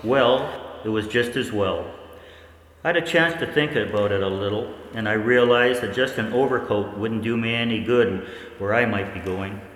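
A man playing a researcher who narrates his own experiment in a 1940s-style radio play, reflective and determined, with deliberate pauses. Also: on the low side, fairly bright tone, close to an everyday voice. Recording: echoey hall, faint background noise.

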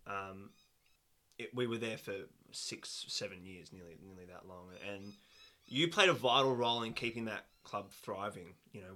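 Young male host with a very bright, tense tone; relaxed interviewer who laughs easily. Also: low-pitched. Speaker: podcast host. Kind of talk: casual interview.

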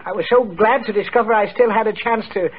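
A man's voice: sits high, fast, natural voice. A man playing a crotchety old miser in theatrical 1940s radio comedy style. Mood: gleeful, generous. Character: crotchety old miser Scrooge, comically reformed. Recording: tinny, noisy old radio broadcast.

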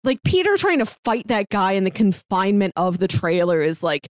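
A woman's voice: medium pitch, big pitch swings, moderate pace, darkish tone, no echo, studio quality. A woman co-hosting a podcast, giving her opinions on a television show in casual conversation. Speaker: podcast co-host.

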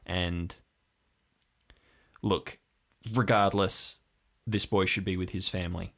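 A man playing an earnest, wary young woman from a compound in an improvised audio drama, speaking in a hesitant, deliberate way. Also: low-pitched, fairly even intonation, gravelly, fairly bright tone, heavily acted.

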